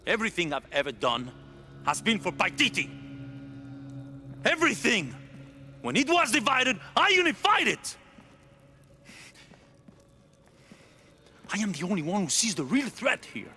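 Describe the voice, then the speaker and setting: An intense, ambitious ruler. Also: male voice, high-pitched, huge pitch swings, moderate pace, long pauses, bright, forceful tone, a little hiss or hum, heavily acted. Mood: impassioned. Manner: intense, pleading then commanding. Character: ambitious male ruler of a hidden city. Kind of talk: video game cutscene acting.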